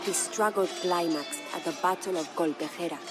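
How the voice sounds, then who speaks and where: A proud, mourning Spanish noblewoman voiced by an actress tells a dramatic game story. Her voice sits on the low side, with formal, measured and solemn phrasing. Music plays clearly behind her. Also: a loud crowd, natural voice. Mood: solemn, storytelling. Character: proud grieving noble Spanish widow, lady of a castle. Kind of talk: dramatic scripted storytelling narration.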